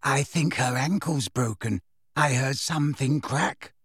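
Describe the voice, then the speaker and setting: A man voicing a calm, dreamy teenage girl in a storybook, with a soft, wistful, airy manner. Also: on the high side, takes it slowly, gravelly, no room sound, clean studio sound, exaggerated voice. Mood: hushed, dreamy.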